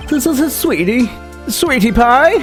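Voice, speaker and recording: Casting: male streamer as excitable, theatrical courtroom game figure, pitched well up, fretful, very animated. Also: measured pace, soft background music. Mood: gushing.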